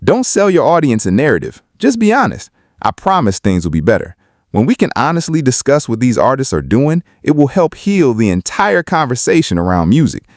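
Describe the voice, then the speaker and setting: A man's voice: medium pitch, sing-song, brisk, dry recording, clean studio sound. A man giving opinionated video commentary in an emphatic, argumentative way. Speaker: video commentator on hip-hop.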